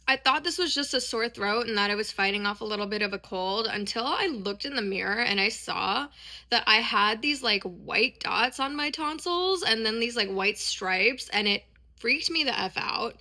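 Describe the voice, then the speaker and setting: A woman's voice: on the high side, lively intonation, moderate pace, pushed and bright. A woman in her thirties, congested with a sore throat, chatting casually and candidly as in a personal vlog. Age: 30s. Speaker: dance and performance vlogger.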